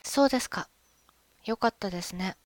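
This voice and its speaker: A woman acting a loving but jealous, suspicious young wife in an amateur radio drama, expressive and often talking to herself. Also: medium pitch, a little fast, no echo, lightly acted. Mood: accusing. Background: music.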